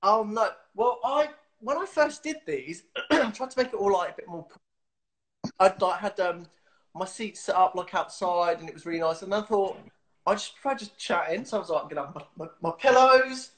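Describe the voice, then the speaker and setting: A man's voice: high voice, moderate pace, fairly bright tone. A man in his thirties hosting a casual video-call interview from a small room at home, chatty and giggly with lots of fillers and restarts. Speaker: host. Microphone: webcam on a video call.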